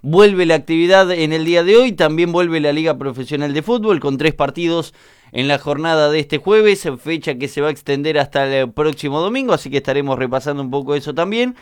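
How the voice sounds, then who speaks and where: A man's voice: a little high, brisk, smooth, no room sound, clean studio sound. A man with an Argentine accent giving a live sports news rundown as a radio columnist. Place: radio studio.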